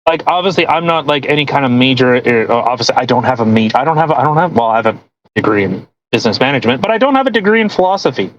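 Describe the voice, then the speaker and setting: A woman's voice: extremely low pitch, lively intonation, quick pace, slight background noise, rough recording. Incredulous, rambling woman podcast host. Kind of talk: casual chat.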